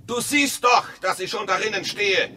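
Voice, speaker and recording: An actor playing an insistent, mistrustful husband in a German radio play, speaking in clipped, deliberate phrases with a husky voice. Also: male voice, high-pitched, sharp tone, soft background music. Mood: urgent, insistent. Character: insistent, mistrustful husband exploring underground with his wife.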